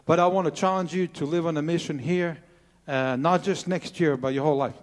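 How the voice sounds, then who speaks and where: A man's voice: a little high, fairly even intonation, brisk, in a large hall. A man in his forties from Croatia, speaking English with a Croatian accent, earnestly sharing his testimony to a church congregation with many hesitant fillers. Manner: earnest, plain-spoken with frequent uh fillers. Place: church sanctuary.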